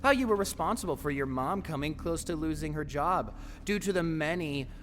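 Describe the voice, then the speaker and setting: A man's voice: on the high side, lively intonation, fairly quick, fairly bright tone. A man playing a taunting, sinister voice inside a tormented man's mind in an audio drama, mocking and theatrical. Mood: cruel taunting. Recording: long reverb, faint background noise.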